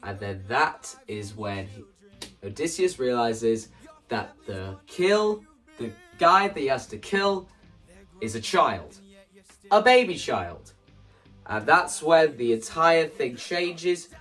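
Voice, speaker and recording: A man gives a casual, animated walkthrough of staging ideas. He sounds some distance from the microphone, and music plays underneath as he talks. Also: mid-range voice, takes it slowly. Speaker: video creator explaining stage play ideas. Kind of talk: casual spoken walkthrough to camera.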